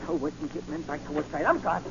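An actor playing an excitable, slangy working-class sidekick in a breathless 1940s radio style. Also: male voice, fairly high pitch, fast. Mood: frantic, eager. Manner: breathless, ungrammatical, urgent. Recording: muffled, noisy 1940 radio recording.

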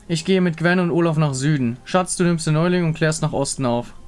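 A man voicing a firm female squad leader in a game story, brisk and authoritative. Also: on the high side, smooth, natural voice. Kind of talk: fan voice-over of a game story.

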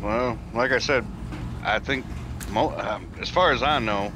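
A man's voice: medium pitch, a little slow. A man roleplaying as a business owner in an online game, answering questions in casual conversation. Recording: clearly noisy, outdoor vehicle ambience.

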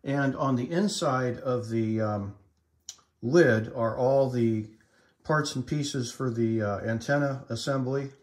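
A middle-aged man giving an informal, matter-of-fact demonstration, speaking haltingly as he explains equipment. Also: medium pitch. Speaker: collector demonstrating vintage equipment. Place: indoors in a room at home.

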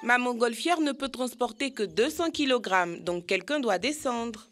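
A woman voicing a brisk, friendly monkey balloon pilot in an educational cartoon. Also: a little high, animated, a bit bright, soft background music.

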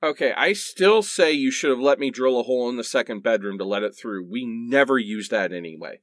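A man acting an exasperated husband, swooping pitch. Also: lively intonation, fairly quick, a bit bright, no room sound, clean studio sound, close to an everyday voice. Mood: defensive, exasperated. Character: defensive, exasperated husband bickering with his wife. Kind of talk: radio play dialogue.

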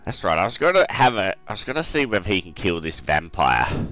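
Brief: male let's-play host, croaky today, deep but lively delivery, rambly and hesitant. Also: a little fast, muffled recording. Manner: rambling, thinking aloud with many ums. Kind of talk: casual game commentary.

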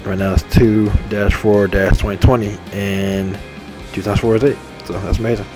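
A young man in his twenties rambles cheerfully, repeating himself as he hands out shout-outs. His voice sits on the low side, and soft game menu music plays underneath. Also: little pitch movement, moderate pace. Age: 20s. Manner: repetitive, upbeat, enthusiastic shout-outs. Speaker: gaming channel host. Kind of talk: rambling casual monologue over game menu. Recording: faint game music behind. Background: faint game menu music.

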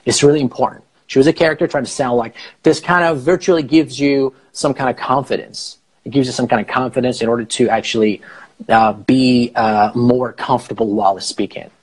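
A man in his thirties, a native Farsi speaker and English teacher, explaining slowly on a live video call through an earphone mic in a small room. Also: mid-range voice, steady tone, husky. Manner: explains slowly, rephrasing with many fillers. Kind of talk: casual live video chat. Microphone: earphone headset mic on a video call.

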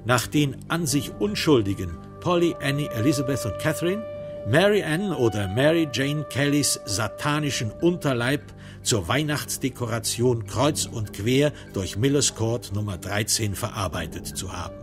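Casting: male actor as wry, sombre crime narrator for radio drama, mid-pitched, measured and literary, music underneath. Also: lively intonation, close to an everyday voice. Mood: sombre storytelling.

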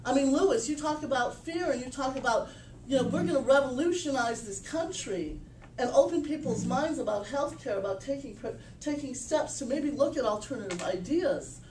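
A middle-aged woman giving an informal, animated sales pitch at a business meetup, emphatic and full of restarts, caught by a camera across a small room. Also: moderate pace, a little hiss or hum. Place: small meeting room, indoors. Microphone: far camera. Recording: echoey room from far off.

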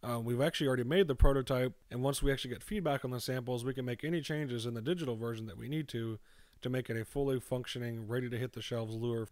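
A man's voice: medium pitch, steady tone, fast talker, a little mellow, no room sound. A young man who makes fishing lures explains mold making step by step in a voice-over, often saying "actually". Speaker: lure maker. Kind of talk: demonstration voice-over.

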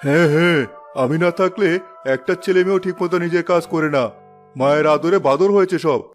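A man's voice: on the high side, a little fast, faint music behind, lightly acted. A man voicing a harsh, domineering, scolding father in a cartoon. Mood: angry, threatening. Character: tyrannical, scolding father of two children. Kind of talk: cartoon dub acting.

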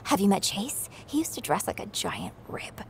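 An actress voicing an excitable, nervous young female trainee in a video game. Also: medium pitch, normal speed, creaky, slight background noise. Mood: giddy. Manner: excitable, giggly.

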